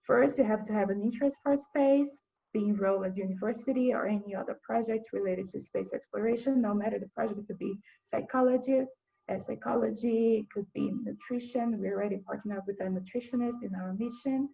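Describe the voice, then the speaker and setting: A young woman from Brazil, a university student giving an earnest, halting webinar presentation with frequent restarts. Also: mid-range voice, vocal fry, warm tone, lo-fi sound. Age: young adult.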